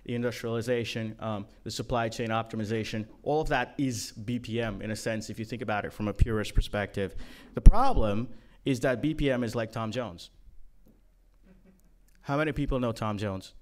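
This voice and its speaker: A man's voice: fairly low pitch, normal speed. A man from Guyana giving a casual, joking talk to a conference room, with many ums and uhs. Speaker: conference speaker.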